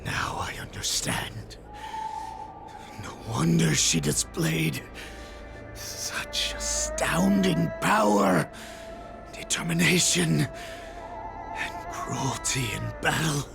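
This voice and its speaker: A spiteful beast-kin villain who hisses his scorn very slowly. His voice is heavily gravelly and swings up and down, over a clear game score. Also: on the high side, very animated, music in the background, heavily acted. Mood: contemptuous. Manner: hissing, drawn-out, spiteful. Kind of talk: video game cutscene acting.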